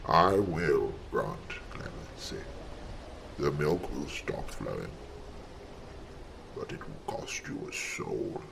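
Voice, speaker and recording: An almighty, menacing god for a comic fantasy audio play. The voice is rock-bottom low and heavily gravelled, each phrase delivered very slowly and portentously. There is clear noise behind it. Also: male voice, sing-song, heavily acted. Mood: ominous, imperious. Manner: slow, portentous, theatrical. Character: almighty god of milk, ominous, grandiose, menacing.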